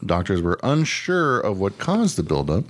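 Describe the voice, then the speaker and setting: Male podcast co-host, lowish voice, casual comic banter, crude and joking. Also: huge pitch swings, moderate pace. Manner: jokey, crude toilet humor. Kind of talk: casual comedic chat, partly reading out odd news stories.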